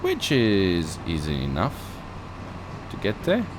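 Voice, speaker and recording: A man's voice: sits low, wildly swooping pitch, slow, noisy background. A man who is not a native English speaker gives casual gameplay commentary as a let's play host, thinking aloud with playful asides.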